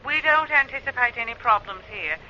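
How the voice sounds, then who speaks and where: A woman playing a brisk, confident spaceship crew member in a radio play, assertive and informal over a radio link. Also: fairly high pitch, lively intonation, pushed and bright, muffled recording, slight background noise. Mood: businesslike. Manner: matter-of-fact, assertive, informal. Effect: radio transmission filter.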